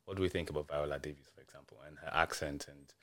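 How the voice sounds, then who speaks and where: A man guesting on a podcast, speaking in a measured way and steering the discussion with questions. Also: very deep voice, steady tone. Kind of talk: casual chat.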